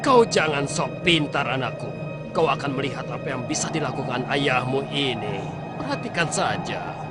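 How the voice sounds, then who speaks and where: Seorang aktor pria memerankan ayah paruh baya yang pemarah, licik dan suka membual dalam kartun religi anak, dengan gaya teatrikal. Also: male voice, mid-range voice, animated, normal speed, natural voice. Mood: boastful, scheming. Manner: theatrical, scolding. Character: irritable scheming middle-aged father, boastful shepherd and trader. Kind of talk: dubbed children's religious cartoon acting. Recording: loud music behind the voice.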